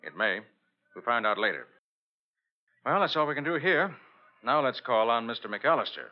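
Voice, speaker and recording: A man's voice: mid-range voice, big pitch swings, normal speed, faint music behind, close to an everyday voice. An actor playing a confident, shrewd male private detective in an old-time radio drama. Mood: intent, deductive. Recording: dull old radio recording.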